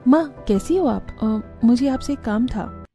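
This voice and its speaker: A woman's voice: on the high side, animated, unhurried, mellow, soft background music. A woman voicing an earnest, resourceful young village wife and mother in a Hindi cartoon story. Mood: hesitant.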